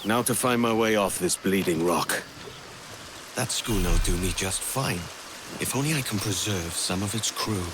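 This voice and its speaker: A man's voice: low voice, normal speed, background noise, close to an everyday voice. A man playing a confident, roguish young sailor in a video game, with a breezy, colloquial way of talking. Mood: wry, determined. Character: brash, roguish young privateer sailor posing as another. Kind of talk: dramatic video game acting.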